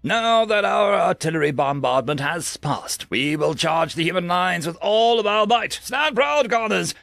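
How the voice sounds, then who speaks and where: Man voicing a rousing alien military commander, high-pitched. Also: expressive, measured pace, vocal fry, fairly bright tone, no room sound, studio quality, faint music behind, exaggerated voice. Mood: rousing, commanding. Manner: declamatory, commanding.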